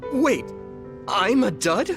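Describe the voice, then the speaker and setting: A man's voice: high voice, sing-song, takes it slowly, gravelly, music in the background, full character voice. A man voicing an earnest, polite young hero in an anime-style video game. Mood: distressed, confused.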